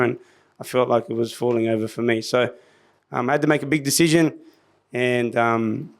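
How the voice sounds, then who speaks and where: An Australian man with a slightly squeaky voice, pitched in the middle for a man, tells stories as a podcast guest. He talks casually, acts out other people's lines and often trips into quick restarts. He is speaking into a podcast microphone. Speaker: podcast guest, business founder. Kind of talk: casual storytelling interview.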